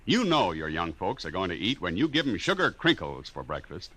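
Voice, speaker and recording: A man working as a 1950s radio announcer, reading an intro and an ad in a booming, salesman-like manner. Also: wildly swooping pitch, brisk, bright, forceful tone, husky.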